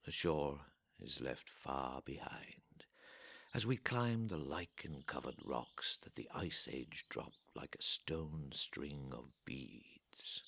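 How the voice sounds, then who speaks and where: A man with an extremely low, gravel-filled voice narrates a literary story. He lingers between short phrases, often trailing into breath, and his pitch swings very widely. Faint noise and ambience sit underneath. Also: a bit bright. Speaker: reader of a literary audio story. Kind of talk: slow atmospheric literary narration.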